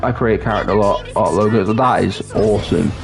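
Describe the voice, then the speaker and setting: A young man in his twenties from North Wales chats casually with viewers on a livestream, halting and restarting often. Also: on the low side. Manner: chatty, halting, with many restarts. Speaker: streamer. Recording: game music clearly behind the voice. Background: game music.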